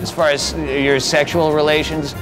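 A man in his thirties hosting a prank segment, interviewing couples in a deadpan, mock-serious way. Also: on the high side, normal speed, loud music behind the voice, poor audio. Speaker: prank show host. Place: city street and studio set.

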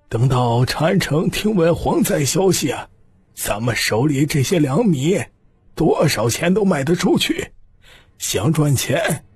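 A man voicing a stern, shrewd old clan patriarch in an audiobook, speaking slowly and with weight. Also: medium pitch, slightly rough, no echo, pitched above the performer's own voice, heavily acted. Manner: slow, emphatic, weighty delivery.

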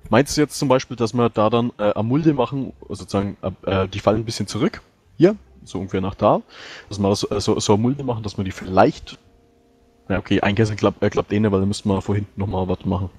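A man's voice: fairly low pitch, quick pace, darkish tone, breathy edge, dry recording. A man talking casually as a player in a tactical game discussion.

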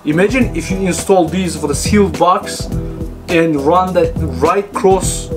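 A man's voice: high-pitched, a little slow, music in the background. A man giving a casual, unscripted product review, talking to viewers in choppy, informal phrases. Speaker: host reviewing car audio speakers.

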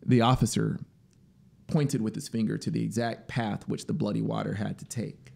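A man in his thirties reading a story as dramatic, deliberate, expressive audiobook narration, close on the mic. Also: fairly low pitch, creaky. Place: small home music studio, indoors. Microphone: broadcast mic on boom arm, close. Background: ambient synth soundscape noise.

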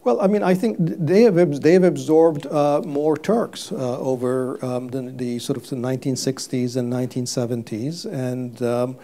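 Halting man, mellow low voice. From Turkey. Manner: halting, many fillers and restarts. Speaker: guest economist. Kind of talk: live stage interview. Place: stage before a live audience. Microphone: lapel mic.

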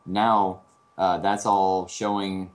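A man with a very low voice speaks as a guest on a casual talk show. He explains calmly and steadily, filling his pauses with "uh" and "um". His tone is plain and conversational. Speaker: guest, air traffic controller. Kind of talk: casual call-in chat.

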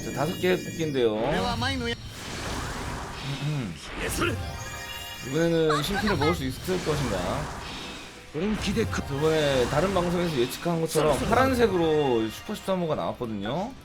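A man with a hoarse, cracking, sore-throated voice giving casual commentary as the host of a gaming video. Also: a little high, animated, normal speed, long pauses. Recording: loud music behind the voice. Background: loud music.

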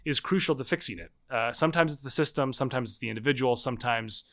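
A man in his thirties, a guest author in a conversational interview, explaining in quick, animated bursts with frequent restarts. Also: mid-range voice. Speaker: guest, political scientist and author.

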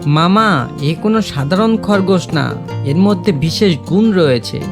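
High-pitched, earnest young village lad played by a man. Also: lively intonation, unhurried, music in the background. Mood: sly, persuasive. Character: clever, scheming poor village son, young. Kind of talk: Bengali cartoon moral tale dialogue.

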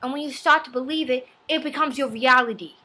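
Casting: nerdy fifteen-year-old boy vlogger played by a woman, high bright voice, earnest and preachy amateur self-help delivery. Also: fairly quick. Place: small home room. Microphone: laptop webcam at arm's length.

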